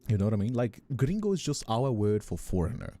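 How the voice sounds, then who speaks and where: A man's voice: fairly low pitch, sing-song, a little fast, mellow, faint music behind. A man, a Portuguese speaker, chatting casually on a livestream in a rambling, teasing way that often repeats phrases. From Brazil. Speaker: streamer and ASMR voice actor.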